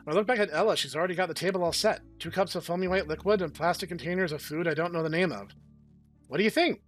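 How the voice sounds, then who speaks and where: Young male host with a high voice, reads game text, drops wry jokes, faint music. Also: racing, fairly bright tone. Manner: wry, joking asides. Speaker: let's play host. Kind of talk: reading a visual novel aloud.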